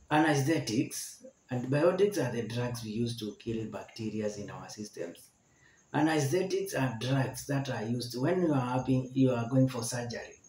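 A man in his forties teaching a health lesson to camera, slowly repeating each point to explain it. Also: medium pitch, a little mellow, slight background noise. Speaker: medical educator. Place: indoor room with barred window. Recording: echoey room.

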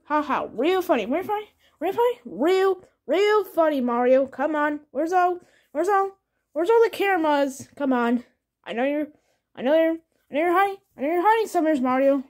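A woman's voice: lively intonation, normal speed. A woman voicing a panicky cartoonish man who stammers and begs in a raised voice. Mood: suspicious. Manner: frantic, stammering repetitions, high-pitched begging. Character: panicky pleading cartoonish man who fears being grounded. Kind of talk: improvised comedy skit.

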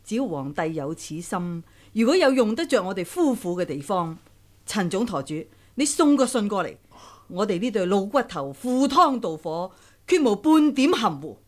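A woman's voice: mid-range voice, very animated, moderate pace, a little mellow. An actress playing a proud, stern old woman warrior who speaks forthrightly and emphatically in a radio drama. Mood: resolute. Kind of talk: Cantonese radio drama acting.

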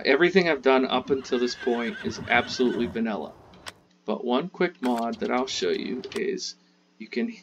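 A man's voice: medium pitch, slight background noise. Man chatting through a farming game. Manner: relaxed, chatty, talks while playing. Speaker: gaming channel host. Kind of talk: casual gameplay tutorial.

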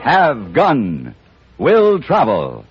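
A man announcing in an emphatic, deliberate 1950s radio style on a muffled old recording. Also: medium pitch, wildly swooping pitch, loud music behind the voice.

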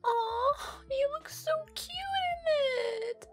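A giggly, teasing young neko girlfriend, played by a woman in an audio roleplay, her voice bouncing up and down with lively swings. She takes her time, speaking unhurried and soft, with faint music underneath. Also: extremely high pitch, full character voice. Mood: giggly affectionate.

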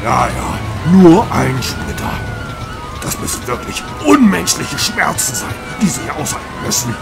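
A man's voice: sits high, wildly swooping pitch, measured pace, vocal fry, full character voice. An actor playing a sarcastic, theatrical male emergency doctor in a radio play. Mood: mock dramatic. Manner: sardonic, melodramatic. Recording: loud music behind the voice.

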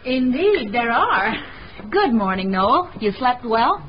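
A polite lady guest in a radio mystery. Her voice is fairly high and very animated, heard in an echoey, noisy recording. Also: slow, muffled recording. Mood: polite, social. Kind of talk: radio drama acting.

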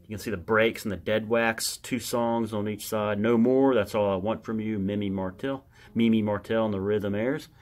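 Man, low voice, casual collector's show-and-tell, halting, muttered reading aloud, indoors. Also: steady tone, darkish tone. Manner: halting, muttering to himself as he reads labels aloud. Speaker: record collector showing his records.

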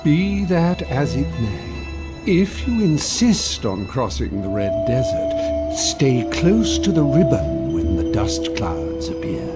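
Languid, arch cat for a video game, hugely varied intonation, loud music underneath. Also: male voice, medium pitch, very animated, takes it slowly. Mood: wry, aloof. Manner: arch, urbane, teasing. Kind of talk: video game character acting.

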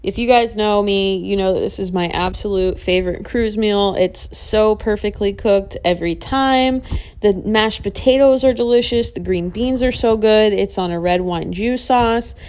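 A woman's voice: mid-range voice, normal speed, a little mellow. A woman vlogger narrating a casual voice-over with gushing enthusiasm. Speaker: vlog host.

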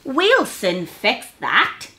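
A cheery, helpful storybook mouse, put on by a woman reader. The voice is on the high side and very lively in pitch. It exclaims and reassures, stretching the words slowly for young children. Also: roomy sound, full character voice. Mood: cheerful.

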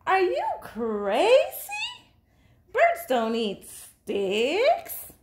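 A woman's voice: very high-pitched, wildly swooping pitch, very slow, slight background noise, pitched above the performer's own voice, heavily acted. A woman voicing a hungry, picky little male bird in a storybook, complaining melodramatically. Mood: disgusted, complaining.

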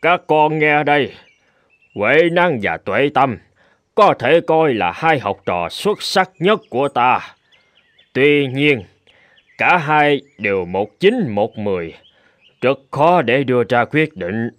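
An actor playing a calm, wise old abbot in a cartoon folk tale, speaking in a measured, teacherly manner. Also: male voice, mid-range voice, no echo. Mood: solemn. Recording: music under the voice.

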